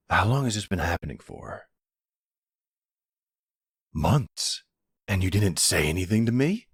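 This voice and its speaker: A man's voice: deep voice, breathy edge, dry recording, clean studio sound, heavily acted. A man acting as a worried, insistent boyfriend in a roleplay audio drama, speaking in an earnest, pleading, exasperated way.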